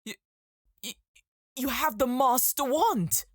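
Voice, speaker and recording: A narrator voicing an excitable, nervous elf healer in a fantasy audiobook, given to exclaiming and awkward stammering. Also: high voice, slow pace, dry recording, clean studio sound, pitched above the performer's own voice. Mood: astonished. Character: excitable, nervous elf healer man, friendly game guide. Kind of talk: audiobook character acting in a fantasy story.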